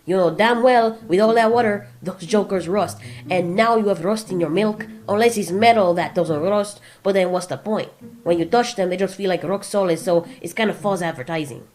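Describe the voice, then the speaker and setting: A woman's voice: mid-range voice, normal speed, a little mellow, close to an everyday voice. A woman voicing a crude, oblivious male flying monster who rambles chattily in a comic audio drama. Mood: musing.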